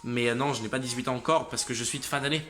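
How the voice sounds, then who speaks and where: A worn-out young man in his late teens gives his viewers a casual video update. His voice has a bright, forceful edge, though fatigue and a slight cold leave him congested and stumbling. His sentences stall and restart, and faint music plays behind him. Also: mid-range voice, steady tone. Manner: halting, trailing off and restarting sentences. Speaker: motorcycle channel host.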